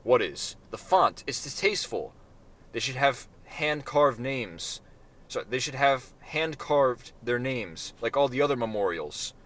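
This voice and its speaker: A man voicing a blonde woman, a flattering and overly eager stranger, in a storybook reading. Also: medium pitch, measured pace.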